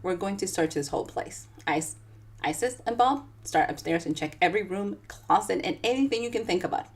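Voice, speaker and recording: Death is a woman who is used to being obeyed. A woman reading aloud voices her with brisk, firm instructions and a lively, expressive lilt. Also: mid-range voice, lightly acted. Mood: commanding. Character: commanding woman, Death, leading a search.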